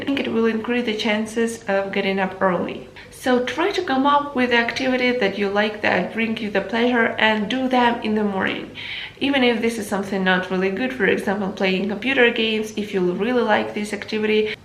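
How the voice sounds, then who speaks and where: A woman's voice: mid-range voice, roomy sound, a little hiss or hum, low-quality recording. A teenage girl with a Ukrainian accent, a native Ukrainian speaker, giving earnest self-improvement advice in a vlog, with slightly non-native phrasing. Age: teens. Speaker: vlogger.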